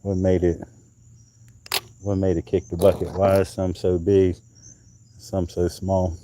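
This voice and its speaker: A man with a low, dark, relaxed voice hosts a live stream in a loose, rambling way. He talks casually, restarts his sentences and lets thoughts trail off as he goes. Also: unhurried, slight background noise. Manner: rambling, chatty, drifts off mid-sentence; handling and showing coral pieces.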